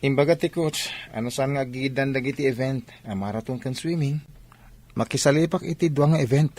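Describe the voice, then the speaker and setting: A man's voice: medium pitch, fairly quick, a little mellow, lightly acted. A man with an Ilocano accent playing an earnest young athlete son in a radio drama.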